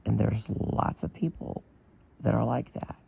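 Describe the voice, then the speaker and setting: A man with a badly hoarse, strained voice holds forth in a slow, unhurried vlog. His tone is dark and heavily gravelly, with a raspy rough edge throughout. He talks bluntly, in a plain opinionated way, with fillers. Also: fairly low pitch, little pitch movement, lo-fi sound. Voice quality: damaged, strained voice. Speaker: vlogger. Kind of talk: casual commentary to camera.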